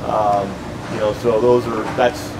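A man's voice: on the low side, flat delivery, measured pace. American man explaining on a noisy factory floor. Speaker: factory staff member giving a tour. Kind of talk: casual, unscripted explanation. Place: large indoor factory floor.

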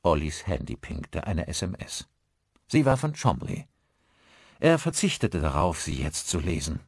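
A man's voice: a bass voice, very animated, measured pace, gravelly, husky, dry recording, clean studio sound. A man reading scripted audiobook narration of a crime story, acting out the dialogue as he goes.

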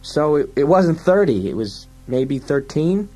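Audiobook sidekick: male narrator as motor-mouthed male crook, high, husky, aggrieved, wisecracking, easily panicked. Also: very animated, a little slow, a little mellow. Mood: argumentative. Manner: motor-mouthed, complaining, stammering. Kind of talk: dramatised audiobook science-fiction dialogue.

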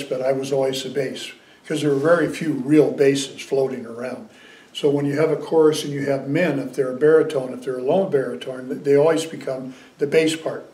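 An older man giving a dharma talk as a Zen teacher, conversational and gently humorous. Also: a little high. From United States. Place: small meditation room indoors. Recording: roomy, distant sound.

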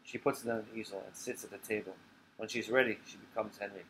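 A man's voice: on the low side, steady tone, fast talker, a bit bright, low-quality recording. A man, the playwright, reading stage directions from his own script in short plain sentences.

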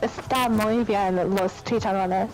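Woman from Mexico, slow halting speech, gamer in voice chat, mixes spanish and english. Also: mid-range voice, fairly even intonation, a little mellow, poor audio. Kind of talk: casual voice-chat conversation.

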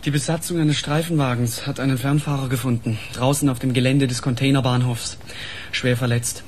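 A man's voice: medium pitch, steady tone, fairly quick, darkish tone, a little hiss or hum, lightly acted. An actor playing a dutiful junior police detective reporting to his boss in a radio crime drama. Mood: dutiful, concerned.

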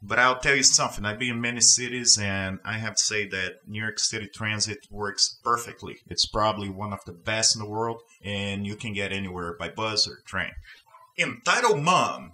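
A man's voice: fairly low pitch, bright, forceful tone, poor audio. A man from Brazil hosting and reading online stories aloud, with emphatic, opinionated commentary. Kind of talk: scripted story reading with personal commentary.

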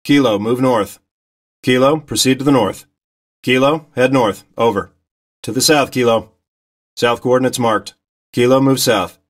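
Game role: male actor as military spotter pilot, middle register, terse and commanding, steady under pressure. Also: medium pitch, a little slow, long pauses, close to an everyday voice. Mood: focused, commanding. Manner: clipped, commanding. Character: steady male military reconnaissance pilot directing a ground squad. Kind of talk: terse military radio commentary, game acting.

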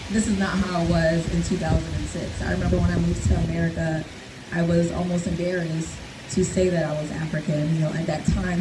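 A woman's voice: on the low side, echoey room, background noise. A woman in her twenties from Ghana, a nurse speaking as a panelist, upbeat and engaging the crowd.

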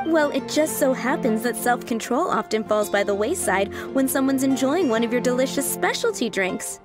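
An actress playing a polite, cheerful, gently firm female tavern manager in video game dialogue. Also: on the high side, lively intonation, moderate pace, lightly acted. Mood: cheerful, teasing. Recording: background music under the dialogue.

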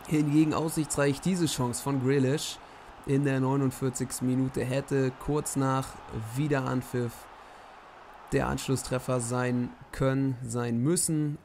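Hoarse young man, gravelly voice, recaps matches like a commentator, faint noise behind. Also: medium pitch. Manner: lively play-by-play summary. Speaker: host commentating simulated matches.

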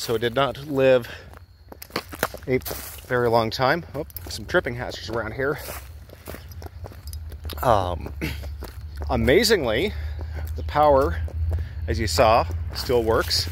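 A man's voice: mid-range voice, a little hiss or hum. A man in his thirties hosting a casual vlog, rambling and joking at his own expense as he films himself with a handheld camera, indoors and out. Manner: rambling, self-deprecating, filler-heavy. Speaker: channel host. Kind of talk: casual vlog walk-and-talk. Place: abandoned building halls and outdoor grounds. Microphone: handheld camera held close, low angle.

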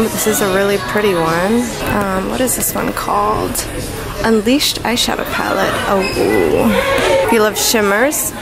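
Chatty young woman in a store. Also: medium pitch. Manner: chatty, excitable, reads product names aloud. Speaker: beauty vlogger. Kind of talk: casual shopping vlog narration. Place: inside a cosmetics store. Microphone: handheld phone camera. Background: loud store music.

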